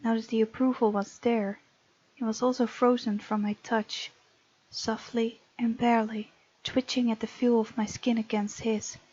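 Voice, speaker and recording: Woman reading as a breathy, hushed romance heroine. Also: fairly high pitch, flat delivery, normal speed, no echo, close to an everyday voice. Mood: intimate, hushed. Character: bold, tender young heroine narrating a romance. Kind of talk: hushed dramatic reading of a visual novel. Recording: muffled lo-fi mic, music underneath.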